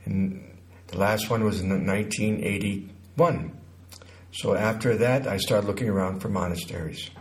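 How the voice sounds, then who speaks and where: A man in his sixties from Connecticut recounts his life as an interview guest, haltingly, pausing mid-sentence. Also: on the low side, steady tone. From Connecticut, United States. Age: 60s.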